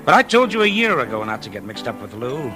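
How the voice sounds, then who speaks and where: An actor playing a wry, jaded newspaper columnist in 1940s radio drama style, chummy and sardonic. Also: male voice, mid-range voice, very animated, fast, music in the background, close to an everyday voice. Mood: wry, warning.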